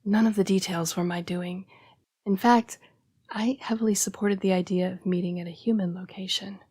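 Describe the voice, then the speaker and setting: Woman acting a hushed, breathy vampire lady. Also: mid-range voice, steady tone, no room sound, studio quality, lightly acted. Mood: apologetic, formal. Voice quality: breathy, hushed, often dropping to a whisper. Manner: formal, polite, measured phrasing. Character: young-seeming female vampire, formal, apologetic, protective, urgent. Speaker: vampire council's correspondence assistant. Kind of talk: intimate audio roleplay monologue.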